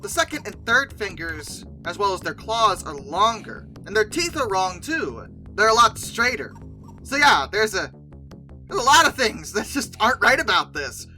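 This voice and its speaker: A very bright, forceful voice with lively pitch swings, in a mid-to-high register. The host talks casually and emphatically, rambling and correcting as they go. Music plays underneath. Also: normal speed, low-quality recording. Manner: hesitant, self-correcting, conversational rambling. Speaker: video host. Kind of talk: casual commentary on film dinosaurs.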